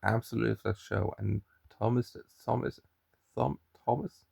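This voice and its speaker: A man's voice: fairly low pitch, flat delivery, normal speed, vocal fry, a little mellow, breathy edge, dry recording, clean studio sound. A young man with a mild Irish accent, chatting and rambling to camera in a daily vlog, often restarting his sentences. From Ireland. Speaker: daily vlogger. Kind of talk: casual chat to camera.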